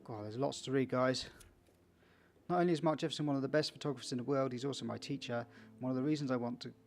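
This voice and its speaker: Creaky-voiced man doing a game playthrough. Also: mid-range voice, fast talker, a little mellow, faint music behind. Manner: chatty asides to viewers, stumbles over read text. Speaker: video game let's player. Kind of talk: casual commentary and reading game text aloud.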